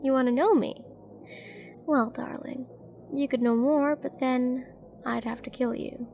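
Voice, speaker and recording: A woman's voice: sits high, steady tone, unhurried, gravelly, lo-fi sound, noisy background. A woman playing a confident, flirty and dangerous mercenary in a nightclub roleplay audio drama, teasing and commanding as she addresses the listener. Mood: teasing, flirtatious.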